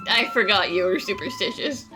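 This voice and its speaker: Young adventurer, casual, wry, highish lively voice, game dialogue, faint music. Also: female voice, moderate pace, rough recording. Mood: dry. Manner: sarcastic, casual.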